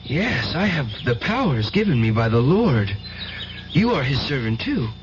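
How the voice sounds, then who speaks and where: An intense, tormented young believer. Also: male voice, mid-range voice, sing-song, a little slow. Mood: fervent. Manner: earnest, intense, dramatic. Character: fervent, tormented young male psychic nephew, devoutly religious. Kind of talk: old-time radio drama acting. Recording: dull, hissy old radio recording.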